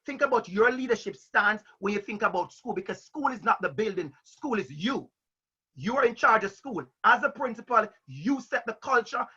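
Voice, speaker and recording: Jamaican guy on a panel, bright husky voice, kinda muffled audio, big rhetorical questions. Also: high-pitched. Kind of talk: panel talk to school principals.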